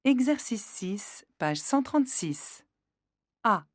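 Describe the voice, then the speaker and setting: Slow, lively-voiced woman reading drills. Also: a little high, dry recording, studio quality. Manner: careful, deliberate enunciation. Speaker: textbook audio reader. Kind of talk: slow, clear read-out of French drill prompts.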